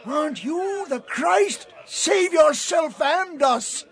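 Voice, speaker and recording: A man reading Scripture aloud and voicing hostile, mocking accusers in a shrill, shouted way. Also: very animated, a little slow, exaggerated voice. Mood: shouting. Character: jeering male accusers and scoffing criminal, hostile.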